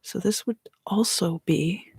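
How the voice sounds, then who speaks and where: Husky, whispering woman reading tarot slowly. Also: lowish voice, no echo. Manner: whispered and soft-spoken, unhurried. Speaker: tarot reader and ASMR host.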